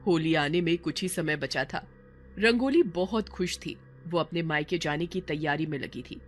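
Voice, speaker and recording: A woman's voice: low-pitched, normal speed, soft background music. A woman narrating a scripted dramatic story as a storyteller.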